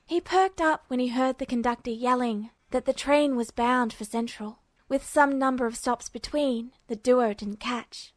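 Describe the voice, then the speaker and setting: Female amateur narrator for fiction, fairly high voice, dry, close sound. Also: normal speed, few pauses, dry recording. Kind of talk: scripted story narration.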